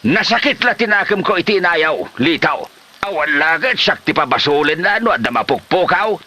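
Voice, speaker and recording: A man's voice: on the high side, expressive, moderate pace, pushed and bright. A man playing a quarrelsome, stubborn old husband in an Ilocano comedy radio drama, speaking with an Ilocano accent in a bickering, exclamatory way. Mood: exasperated.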